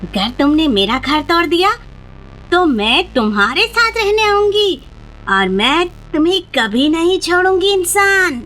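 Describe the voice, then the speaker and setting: A woman voicing a menacing, mocking old witch spirit in a cartoon, with a shrill, cackling, taunting delivery. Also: very animated, a bit bright, heavily acted. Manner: taunting, threatening.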